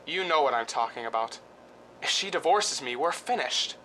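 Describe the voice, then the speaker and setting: Actor as a restless, swooping-voiced scheming husband. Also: male voice, fairly high pitch, expressive, normal speed, sharp tone, rough recording. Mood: tense, conspiratorial. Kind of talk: radio drama acting.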